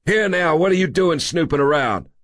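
A man's voice: medium pitch, expressive, normal speed, a bit bright, no room sound, studio quality, pitched above the performer's own voice, close to an everyday voice. A man voicing a short, fat, self-important male busybody who talks in a pompous, huffy way. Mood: indignant, accusing.

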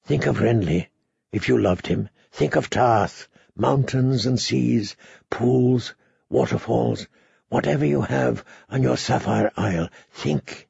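A man voicing a proud, sardonic, wounded knight in an audiobook, speaking in hushed, dry tones. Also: expressive, normal speed, gravelly, slightly rough, dry recording, lo-fi sound. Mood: urgent, hushed. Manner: low whispering, sardonic.

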